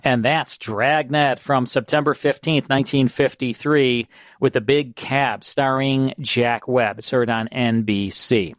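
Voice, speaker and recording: A man's voice: medium pitch, fairly even intonation, measured pace, no room sound, clean studio sound. A man hosting a radio show in a conversational style.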